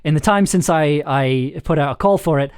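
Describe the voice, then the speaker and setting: A man hosting a casual livestream chat, talking in a halting, self-interrupting way and prone to chuckling mid-sentence. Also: on the high side, a little mellow, smooth. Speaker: streaming host and audio drama maker.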